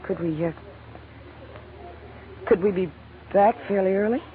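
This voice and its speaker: An actress playing a sharp-tongued but naive young office girl in a 1940s radio drama, sassy and guarded, quick with retorts. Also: mid-range voice, close to an everyday voice. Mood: reserved. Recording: tinny, noisy vintage radio recording.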